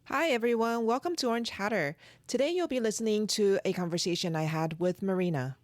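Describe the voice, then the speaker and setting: A woman hosting a podcast interview, friendly and brisk as she asks her guest questions. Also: medium pitch, dry recording. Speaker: podcast host.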